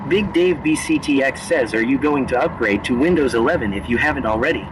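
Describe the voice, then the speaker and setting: A male text-to-speech voice reading out viewer chat messages in a flat synthetic delivery. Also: mid-range voice, brisk, background noise.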